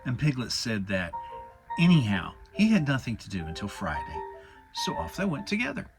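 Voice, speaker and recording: Animated male children's storybook narrator. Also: medium pitch, moderate pace. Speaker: audiobook narrator. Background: music.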